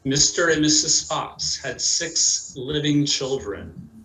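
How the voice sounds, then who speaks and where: A man giving scripted dramatic narration in a deliberate, theatrical manner. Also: on the high side, animated. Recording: echoey room, faint noise.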